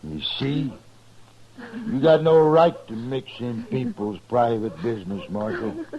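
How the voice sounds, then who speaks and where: Casting: rough frontier mule driver, mid-pitched, threatening and folksy, vintage radio western sound. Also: male voice, animated, a little slow, darkish tone. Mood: menacing. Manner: blustering, menacing, rough folksy speech. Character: threatening, surly bully of a mule-driving frontier man. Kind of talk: 1950s radio western acting. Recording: dull old 1950s radio recording.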